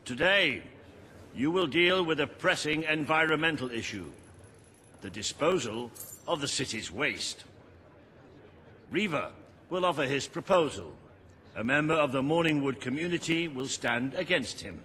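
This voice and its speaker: An actor voicing a stiff royal court official in a video game, announcing in a formal, declamatory way. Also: male voice, fairly high pitch, expressive, a little slow, long pauses, sharp tone. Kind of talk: scripted video game dialogue.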